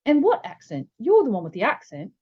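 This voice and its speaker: A woman's voice: a little high, huge pitch swings, fairly quick, dark tone, breathy edge, pitched above the performer's own voice, exaggerated voice. A woman voicing a modest, friendly young wizard boy in a storybook, casual and understated. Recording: slightly dull, lo-fi sound.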